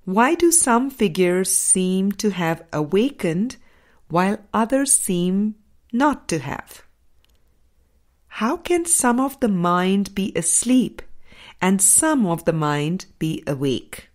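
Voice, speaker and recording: A woman reading a scripted spiritual audiobook in a measured, deliberate way, pausing between phrases. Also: medium pitch, expressive. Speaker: audiobook reader.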